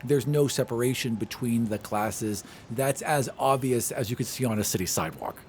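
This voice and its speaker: A man's voice: medium pitch, moderate pace. A man improvising scenes as game master of a tabletop fantasy game, in a conversational, descriptive way. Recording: clearly noisy recording.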